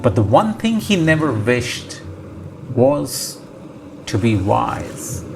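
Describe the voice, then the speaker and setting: A man reading a children's storybook aloud as scripted narration, measured and pausing between short phrases. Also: mid-range voice, sing-song, over music. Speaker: storybook reader. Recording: clear background noise, ambience. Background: ocean ambience sound effects.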